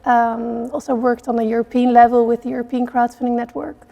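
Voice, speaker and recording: A woman's voice: fairly high pitch, level tone, brisk, vocal fry, dark tone. A middle-aged woman from the Netherlands, answering interview questions earnestly with many fillers. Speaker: CEO of a network for social entrepreneurs.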